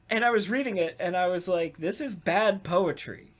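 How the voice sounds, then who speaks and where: A podcast co-host chatting casually about a radio play, rambling along with wry humor. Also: mid-range voice.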